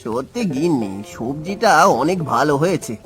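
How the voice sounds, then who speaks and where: A man voicing a poor village farmer and father in a folk-tale cartoon, gentle and humble. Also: on the high side, very animated, normal speed, vocal fry, over loud music. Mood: affectionate. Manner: affectionate, humble.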